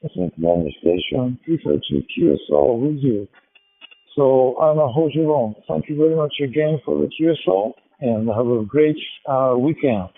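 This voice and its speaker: A man's voice: medium pitch, normal speed, vocal fry. A man with a Japanese accent making a courteous, formal ham radio contact over a radio link. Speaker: amateur radio operator. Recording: tinny narrowband digital radio transmission.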